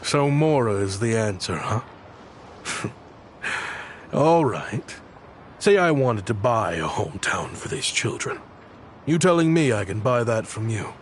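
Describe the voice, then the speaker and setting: Weary, thoughtful caretaker. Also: male voice, fairly low pitch, big pitch swings, a little hiss or hum. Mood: wry. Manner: thoughtful, wry and measured. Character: weary adult man caring for orphaned kids. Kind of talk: video game dialogue.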